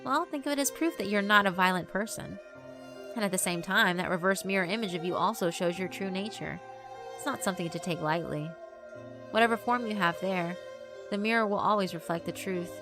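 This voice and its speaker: A woman voicing a polite, solemn mirror yokai who speaks formally and gives measured, admonishing advice in a visual novel. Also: mid-range voice, natural voice. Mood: calm, admonishing. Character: solemn, polite mirror yokai delivering stern, wise warnings. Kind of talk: dramatic visual novel voice acting. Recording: music behind the voice.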